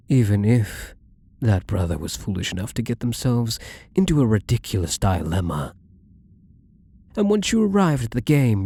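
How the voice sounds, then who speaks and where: A man's voice: lowish voice, warm tone, breathy edge, no room sound, heavily acted. A man playing a wealthy, obsessively devoted and controlling gambler in an intimate ASMR roleplay, with doting, menacingly tender delivery and formal wording.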